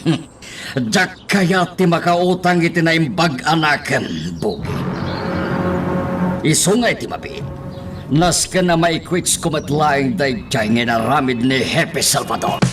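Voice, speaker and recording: Doting gangland patriarch, Ilocano accent, expressive pitch swings, radio drama with faint music bed. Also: male voice, takes it slowly. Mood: stern, brooding. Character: protective father, crime syndicate boss with one daughter.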